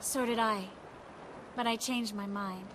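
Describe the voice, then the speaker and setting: An actress playing a self-assured, tender young sorceress in a video game, speaking earnestly and expressively. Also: female voice, a little high, fairly even intonation, unhurried, background noise, natural voice. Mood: warm, resolved. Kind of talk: video game cutscene dialogue.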